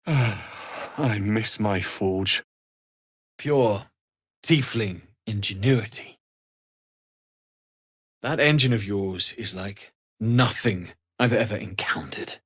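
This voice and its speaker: Young tiefling smith in a video game, kind n earnest, gentle measured talk, mid pitched voice. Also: male voice. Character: earnest, kindly young male tiefling blacksmith. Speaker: voice actor. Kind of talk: video game character dialogue.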